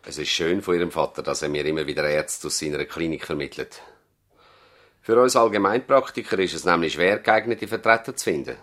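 An actor playing a courteous older country doctor in a radio play, speaking formally with a Swiss German accent. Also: male voice, sits low, a little fast, a bit bright. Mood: collegial, reminiscing. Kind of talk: 1970s radio drama dialogue.

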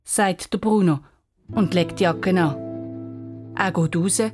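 A woman's voice: lowish voice, takes it slowly, over music. A woman narrating a scripted children's story in Swiss German as the storyteller.

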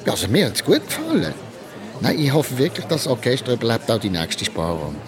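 An actor playing a mild, pleased husband at a concert in a radio play. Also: male voice, mid-range voice, wildly swooping pitch, fairly quick. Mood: pleased, hopeful. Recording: crowd murmur behind.

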